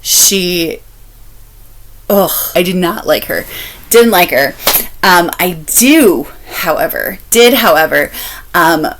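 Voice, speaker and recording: A woman talks in a casual, chatty review style at a slow, deliberate pace. Her voice sits a little low but swings widely in pitch. She is candid and expressive, repeating phrases to drive them home. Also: a little hiss or hum. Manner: emphatic, repeats phrases for stress; candid, expressive, laughs while talking. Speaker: book reviewer vlogger.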